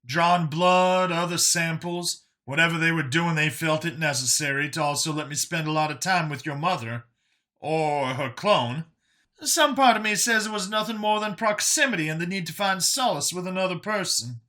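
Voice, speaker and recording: A man voicing an earnest older male escaped captive in an audiobook, explaining past hardship in a halting, emphatic way. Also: fairly high pitch, bright, forceful tone, voice pushed up. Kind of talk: audiobook character dialogue.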